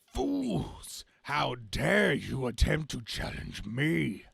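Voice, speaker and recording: A man's voice: mid-range voice, wildly swooping pitch, extremely slow, gravelly, fairly bright tone, husky, dry recording, studio quality, heavily acted. A man voicing a boastful evil half-beast comic-book villain, proclaiming in a pompous, theatrical way. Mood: menacing, grandiose. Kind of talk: amateur dramatic comic-book reading.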